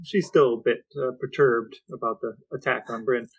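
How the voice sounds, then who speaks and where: A man's voice: medium pitch, fairly even intonation, normal speed, dull audio. A man co-hosting a podcast, chatting casually and posing questions to the people with him. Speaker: podcast co-host.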